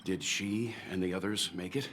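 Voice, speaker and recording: An actor playing a serious, prudent man in a video game, speaking in a grave, measured way. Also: sits low, fairly even intonation, fairly bright tone, slight background noise. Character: serious, prudent man weighing high stakes. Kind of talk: game character acting. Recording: music behind the voice.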